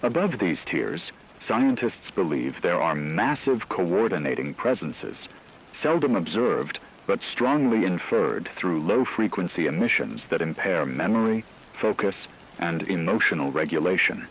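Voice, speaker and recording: A man playing a grave radio news anchor, delivering a scripted report in a solemn, formal, measured way. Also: low-pitched, big pitch swings, heavily acted. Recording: noisy, rain-like background ambience.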